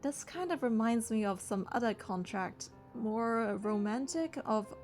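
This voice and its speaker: A woman's voice: fairly high pitch, expressive, a little slow, darkish tone. A woman voicing a young romance game heroine, narrating and speaking in a composed, scripted way. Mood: composed, tender. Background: music.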